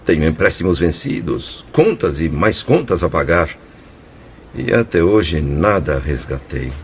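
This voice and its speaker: A deep voice of a tormented yet resigned Brazilian spirit, once a merchant. He declaims formally and blames himself in radio drama style. The audio is a little muffled, with some noise behind it. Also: sing-song, a little slow, full character voice. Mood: anguished, ashamed. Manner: solemn, formal, declamatory, self-reproachful. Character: remorseful male spirit of a bankrupt merchant suicide, anguished yet resigned. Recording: dull lo-fi audio, background noise.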